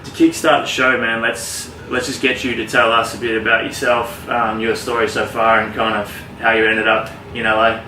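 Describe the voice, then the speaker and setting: A man's voice: medium pitch, little pitch movement, normal speed, few pauses, bright, forceful tone, a little hiss or hum. A man hosting a fitness podcast, friendly and laid-back, often calling his guest "man". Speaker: podcast host. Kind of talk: casual podcast interview. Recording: roomy, distant mic with faint ambience.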